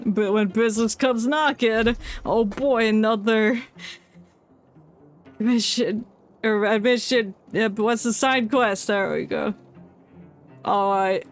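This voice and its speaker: A woman giving casual, rambling livestream commentary on a game and reading its text aloud. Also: medium pitch. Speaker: game streamer. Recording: game music faintly behind the voice.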